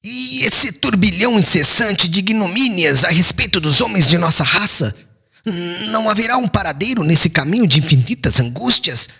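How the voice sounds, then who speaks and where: Stern elderly Hebrew patriarch played by a male reader, rough-edged voice, indignant and unbending, very animated audiobook drama. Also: high voice, normal speed, voice pushed up, exaggerated voice. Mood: anguished indignation. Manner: solemn, indignant, forceful oratory. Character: stern, wounded old Hebrew father, stubbornly bent on justice.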